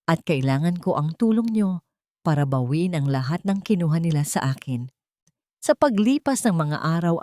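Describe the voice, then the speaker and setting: A woman's voice: mid-range voice, a little mellow, no room sound, studio quality. A woman narrating a dramatic story in an emotive, scripted reading.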